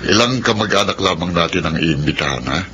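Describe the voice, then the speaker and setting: Very deep-voiced stern father. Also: animated, pushed and bright, a little hiss or hum. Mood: stern, probing. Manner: stern, halting, authoritative questioning. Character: stern, conditional-loving father of pregnant daughter. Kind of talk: radio drama acting.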